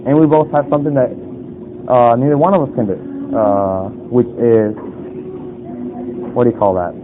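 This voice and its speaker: A man's voice: mid-range voice, steady tone, moderate pace. Young man, muffled phone-like noisy recording. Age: young adult. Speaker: pickup vlogger chatting up a stranger. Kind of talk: casual street conversation. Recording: muffled phone-like audio, loud street noise.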